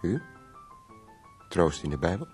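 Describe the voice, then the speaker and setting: An actor playing a formal, questioning gentleman who visits a dying man in a radio drama. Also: sits low, big pitch swings, slow pace, soft background music, heavily acted. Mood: reproachful. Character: male visitor of a dying poet, probing, reproachful.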